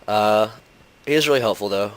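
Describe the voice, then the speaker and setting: A young man hosting a gaming channel, talking through gameplay in a casual, rambling way full of fillers, his voice slightly hoarse from a cold. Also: lowish voice, normal speed.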